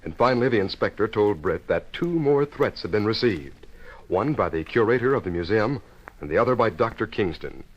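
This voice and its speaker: A man narrating an old time radio drama as the announcer, with a husky voice, setting the scene. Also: on the low side, lively intonation, a little fast. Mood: measured narration. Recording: dull old radio recording.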